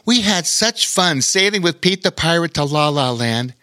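Guy doing a little princess, excited n friendly, high bright voice, storybook. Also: moderate pace, no room sound.

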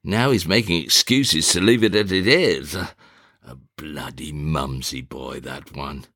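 Guy doing a blustery old country gent, gruff and low, dry close sound. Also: unhurried, sharp tone, dry recording, exaggerated voice. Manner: gruff, blustery, chuckling to himself. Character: blustering older country squire, gruff father.

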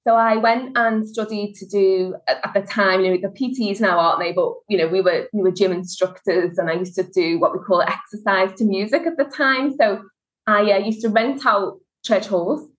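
A woman's voice: mid-range voice, steady tone, moderate pace, rough recording. Chatty Scouse woman telling her story. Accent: Liverpool (Scouse). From Liverpool, England. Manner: chatty, storytelling, frequent fillers. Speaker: guest, HR consultancy director. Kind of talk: conversational interview.

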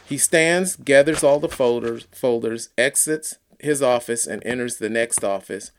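A man narrating an audiobook in a deliberate read-aloud, occasionally stumbling over words. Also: medium pitch, slight background noise. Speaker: audiobook reader.

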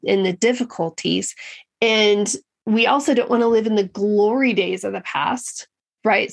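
A woman hosting a solo motivational podcast, talking earnestly and directly to her listeners, slightly nasal from a head cold. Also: mid-range voice. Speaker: podcast host.

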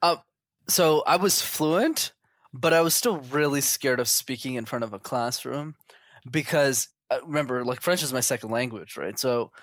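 A man's voice: mid-range voice, moderate pace, fairly bright tone, no echo. A man telling his story as an interview guest in a candid, chatty, casual way. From Montreal, Canada.

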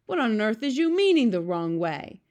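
A woman's voice: mid-range voice, animated, moderate pace, lightly acted. Storybook hero: innocent, kindly giant man, voiced by a woman, earnest, exclaiming, grammar all muddled. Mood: indignant. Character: kindly, innocent, excitable big friendly male giant. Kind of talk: storybook character reading.

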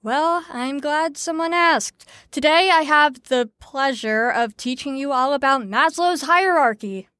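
A woman's voice: sits high, measured pace, hardly any pauses, sharp tone, no room sound, studio quality. A woman playing a sarcastic, breezy psychology teacher in an audio drama, with a mock-cheerful manner. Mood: sardonic.

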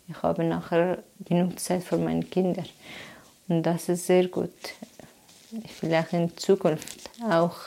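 A woman from Afghanistan telling her plans in halting German, pausing often to search for words. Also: fairly low pitch, gravelly, dark tone. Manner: hesitant, searching for words with many pauses. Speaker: interviewee. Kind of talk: halting personal account in learner German.

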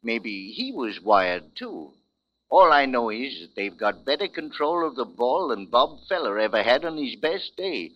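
An actor playing a cynical, theatrical boozer sidekick with an American accent, teasing and grandiloquent in 1940s radio style. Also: male voice, medium pitch, very animated, unhurried. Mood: wry, informative. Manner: mocking, grandiloquent. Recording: muffled lo-fi 1940s radio recording.

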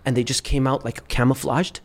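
A man's voice: mid-range voice, level tone, a little fast. Man telling stories in a rambling way. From Lebanon, raised in Dubai. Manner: rambling, repeats words and restarts while telling stories. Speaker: podcast guest. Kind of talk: casual storytelling chat.

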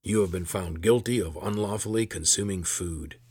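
A man voicing a gruff, stern, curt sergeant of the palace guard in a fantasy story. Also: moderate pace, darkish tone, no room sound. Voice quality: gruff, rumbling. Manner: curt, authoritative.

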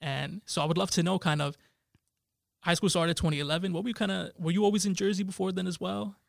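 Fast-talking gravelly young man hosting. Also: sits high, fairly even intonation, no echo, studio quality. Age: 20s. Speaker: podcast host. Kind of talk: casual interview chat.

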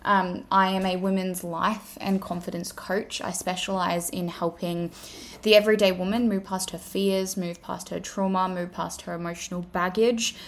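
Australian woman, podcast host, gravelly fry, casual sweary home-recorded chat. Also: on the low side, level tone, normal speed. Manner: chatty, swears freely, lists in repeated phrases. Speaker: podcast host and life coach. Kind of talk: casual solo podcast monologue. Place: home room. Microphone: simple home setup.

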